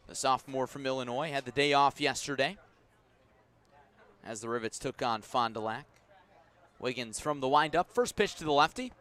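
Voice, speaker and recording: Male American radio play-by-play voice, medium pitch, measured baseball narration that can lift for big plays, broadcasting from a ballpark. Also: a bit bright. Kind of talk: live sports commentary.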